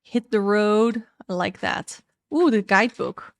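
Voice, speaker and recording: A woman's voice: medium pitch, unhurried, dry recording. Chatty Dutch-native woman reviewing tarot decks. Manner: candid, chatty, frank opinions. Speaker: tarot YouTuber. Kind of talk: casual deck review.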